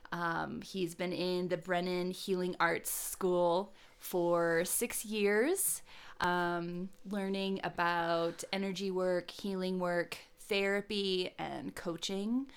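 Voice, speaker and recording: A woman co-hosting a podcast in an earnest, conversational way, measured and pausing often. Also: lowish voice, steady tone, clean tone. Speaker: podcast co-host.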